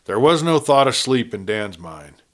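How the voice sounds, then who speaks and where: A man's voice: medium pitch, sing-song, normal speed, a bit bright. A man narrating a Western novel as an audiobook storyteller, reading from a script.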